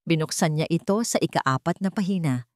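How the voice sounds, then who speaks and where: A woman's voice: deep voice, fairly quick, a little mellow, no echo, clean studio sound. A woman narrating a scripted story in a dramatic storytelling style.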